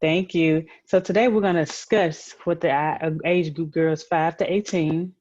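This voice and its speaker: A woman's voice: low voice, fairly even intonation, unhurried, darkish tone, no room sound, lo-fi sound. A woman hosting an online program for young girls, speaking in an encouraging, announcer-like way. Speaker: host of a girls' group virtual story time.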